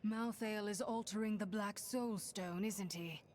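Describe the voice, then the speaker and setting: A woman's voice: mid-range voice, measured pace, a little mellow, slight background noise, close to an everyday voice. An actress voicing a determined, direct woman hero in a video game.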